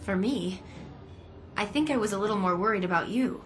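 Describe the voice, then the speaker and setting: Actress as an anxious, earnest female informant, mid-pitched. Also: a little fast, close to an everyday voice. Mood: worried. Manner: hesitant, polite, sincere. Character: earnest, anxious young female office employee turned informant. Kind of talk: video game dramatic dialogue. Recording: music playing behind the voice.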